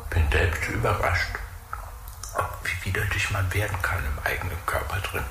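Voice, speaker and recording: An actor playing a starved, bitter, crude captive in a German radio drama, muttering in broken phrases, often half-whispered. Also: male voice, low-pitched, normal speed. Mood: disgusted, muttering. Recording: roomy echo, loud noise and clicks.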